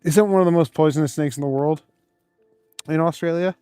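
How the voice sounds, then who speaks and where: A man's voice: a little high, brisk, dark tone, smooth, dry recording, faint music behind. A man live streaming while out on cold city streets, reading chat aloud in an easygoing, chuckling, rambling way. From Canada.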